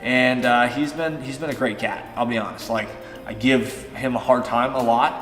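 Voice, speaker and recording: Fitness vlogger, man, early thirties, relaxed rambling delivery, roomy echo with soft music behind. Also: medium pitch, normal speed. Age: 30s. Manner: chatty, loose and rambling, with many fillers. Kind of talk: casual Q and A vlog. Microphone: on-camera shotgun mic.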